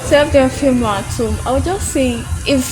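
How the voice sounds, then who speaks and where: A young Nigerian woman with a Nigerian accent, answering interview questions softly and hesitantly as an international student. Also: sits high, normal speed, over music, rough recording.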